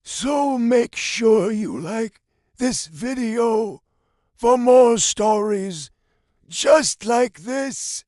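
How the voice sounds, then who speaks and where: A man reading a viewer's horror story aloud as the channel's narrator, in a slow, drawn-out creepy manner. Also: extremely high pitch, expressive, darkish tone, no echo, clean studio sound.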